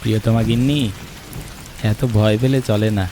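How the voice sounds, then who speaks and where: A man's voice: fairly low pitch, steady tone, moderate pace, mellow, a little hiss or hum, natural voice. A man voicing a playful, coaxing young husband in a cartoon, in a teasing, cajoling manner. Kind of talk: cartoon voice acting. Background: music and rain.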